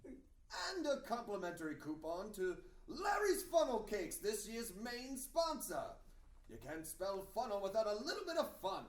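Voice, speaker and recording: A man's voice: sits high, very animated, normal speed. A man playing a pompous, grandiose master of ceremonies at a Renaissance fair, proclaiming in mock-archaic style at a comedy table read. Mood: awkward, placating. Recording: roomy, distant mic, faint noise.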